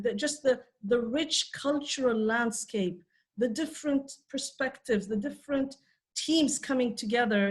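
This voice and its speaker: Fairly high-voiced woman speaker, conversational. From Ethiopia. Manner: conversational, many fillers and restarts. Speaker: conference speaker. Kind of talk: talk at an online panel.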